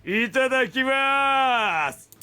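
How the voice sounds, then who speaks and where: An actor playing a sadistic, bloodthirsty male villain in a visual novel, taunting and menacing in rough speech. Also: extremely high pitch, expressive, slow, background noise, exaggerated voice. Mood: snarling, shouting. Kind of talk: Japanese visual novel voice acting.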